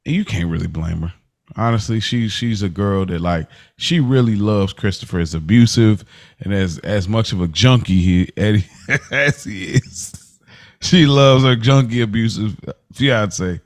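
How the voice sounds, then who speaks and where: A man's voice: on the low side, a little slow. A young adult man co-hosting a casual podcast, laid-back and laughing often as he talks, restarting his sentences. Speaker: podcast co-host. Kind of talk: casual chat.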